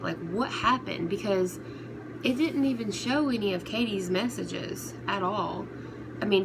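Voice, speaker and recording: A woman giving casual, unscripted commentary on online drama, speaking in halting phrases that trail off and restart. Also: medium pitch. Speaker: commentary vlogger. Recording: clearly noisy recording, background ambience.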